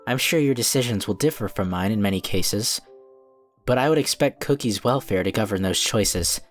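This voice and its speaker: A man playing a calm, diplomatic cookie wizard who speaks in an earnest, formal, measured way in a fan cartoon drama. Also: fairly low pitch, faint music behind, lightly acted. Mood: calm, firm. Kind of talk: scripted fan audio drama acting.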